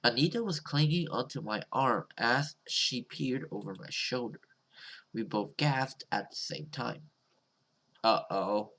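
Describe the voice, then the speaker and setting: A man's voice: medium pitch, expressive, a little slow, a bit bright. A man doing casual, hesitant let's play commentary and reading a visual novel's story aloud, swearing now and then. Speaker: gaming YouTuber playing a visual novel. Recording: music playing behind the voice. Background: game music.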